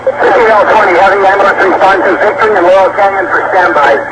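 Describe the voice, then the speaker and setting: High-pitched male officer on noisy police radio. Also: quick pace. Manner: clipped, urgent procedural reporting. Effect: police radio transmission. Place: outdoors at an incident scene.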